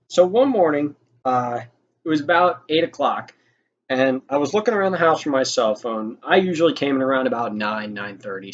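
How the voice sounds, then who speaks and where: A young man telling a casual story in a rambling, informal way with some swearing. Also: medium pitch. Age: young adult. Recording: dull lo-fi audio with noticeable noise.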